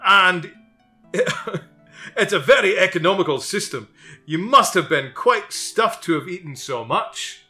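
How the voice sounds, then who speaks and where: A man voicing an impatient male math wizard who lectures loudly and commandingly, with faint guitar music behind. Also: sits high, expressive, measured pace, voice pushed up, heavily acted.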